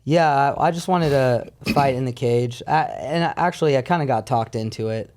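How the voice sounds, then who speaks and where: Clear-voiced man in his thirties, candid. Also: mid-range voice, moderate pace, darkish tone. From born in Paris, France, moved to America at twelve. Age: 30s. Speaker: podcast guest. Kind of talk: casual storytelling chat.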